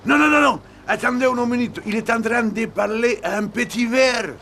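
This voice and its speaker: An actor voicing a silly, excitable cartoon lookout with a creaky voice and a fussy way of talking. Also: male voice, very high-pitched, normal speed, a little hiss or hum, full character voice. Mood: excited. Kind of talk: French cartoon dub acting.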